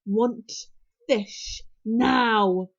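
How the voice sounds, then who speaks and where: A greedy little storybook dragon, acted out by a woman, blunt and demanding in broken speech. The voice is fairly high, and the recording is slightly dull. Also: extremely slow. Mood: hissing, demanding. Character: small, greedy, demanding young male pet dragon.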